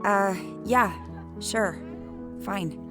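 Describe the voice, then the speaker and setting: A woman's voice: medium pitch, extremely slow. A woman playing a guarded, sarcastic young woman in a naturalistic audio drama, speaking dryly and a little hesitantly. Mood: wry. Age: young adult. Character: wry, guarded young woman grieving her uncle. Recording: music bed behind the voice.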